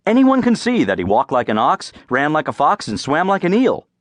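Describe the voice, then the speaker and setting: A man reading scripted folk-tale narration as an audiobook narrator, with clear phrasing and a dramatic storyteller's delivery. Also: on the high side, huge pitch swings, fairly quick, no room sound, studio quality.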